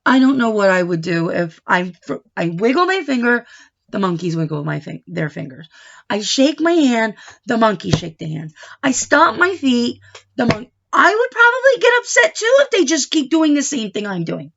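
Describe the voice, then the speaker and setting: A woman reading a picture book aloud to children, expressive and coaxing, pausing to ask them questions, on a dull home recording. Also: a little high, gravelly, slight background noise. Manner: expressive, coaxing, pauses to question and prompt young listeners. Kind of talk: animated children's read-aloud with questions to the listeners.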